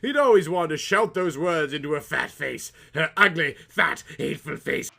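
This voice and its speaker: A man's voice: a little high, lively intonation, moderate pace, gravelly, pushed and bright, a little hiss or hum. A man narrating a comic story in a theatrical, mock-serious audiobook style.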